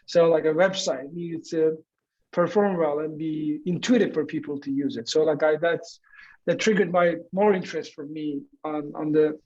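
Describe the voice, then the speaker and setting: A man from Turkey in his forties talks through his answers in a relaxed, rambling way, dropping "like" into nearly every phrase. His voice sits a little high for a man. The sound is dull and lo-fi, as over a remote call. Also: normal speed. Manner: rambling, many "like" fillers. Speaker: guest, entrepreneur. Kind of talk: casual interview answers.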